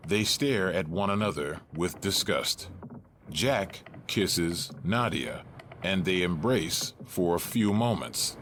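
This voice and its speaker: Deep guy reading stage directions real slow, little bit of background noise. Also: expressive, fairly bright tone. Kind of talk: scripted narration.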